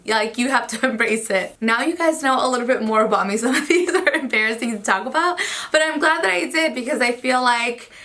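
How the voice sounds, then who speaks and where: Bright, chatty young woman vlogger. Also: on the high side, brisk. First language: Spanish. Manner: chatty, earnest, frequent "like" fillers. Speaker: beauty vlogger. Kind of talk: casual talk to camera.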